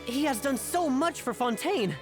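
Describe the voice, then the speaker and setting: A woman's voice: sits high, lively intonation, normal speed. An actress playing a distressed young woman urgently pleading with a judge. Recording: game cutscene with music.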